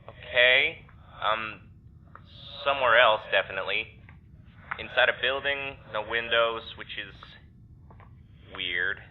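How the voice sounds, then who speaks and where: A thin, phone-like signal carries a male actor as an uneasy young man. He is sardonic and halting, talking his way through a strange place in an audio drama, with faint noise behind him. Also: medium pitch, close to an everyday voice. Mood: wary, subdued. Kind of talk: naturalistic audio drama acting. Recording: tinny phone-like filter with background noise.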